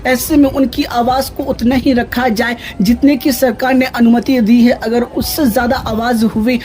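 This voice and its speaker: A man's voice: very high voice, flat delivery, moderate pace, darkish tone. A man hosting an online video, giving scripted commentary and religious exhortation in an earnest, admonishing manner, addressing listeners as friends. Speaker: YouTube channel host.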